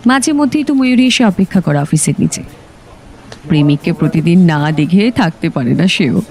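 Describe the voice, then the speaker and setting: A woman's voice: on the low side, expressive, moderate pace, darkish tone. A woman playing a chatty, nosy senior office colleague in an audio drama, with a teasing, gossipy manner. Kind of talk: audio story acting.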